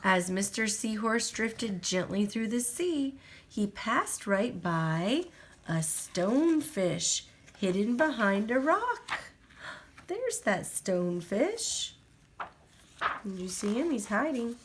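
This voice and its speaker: A woman reading a children's picture book aloud to a small child at home, gently pointing out things on each page in a sing-song way. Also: mid-range voice, big pitch swings, slow pace, a little hiss or hum. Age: adult.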